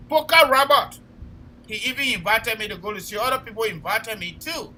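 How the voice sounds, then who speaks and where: A man's voice: sits high, a little fast, bright, forceful tone, a little hiss or hum. A man with a Liberian accent giving impassioned political commentary, speaking emphatically and exhorting listeners with repeated phrases. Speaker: co-host of a political talk show.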